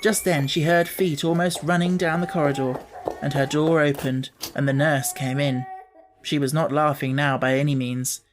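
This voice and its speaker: A woman with a slightly low voice reads a children's book. Her delivery is scripted, expressive storytelling, as an audiobook narrator. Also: normal speed, a little hiss or hum.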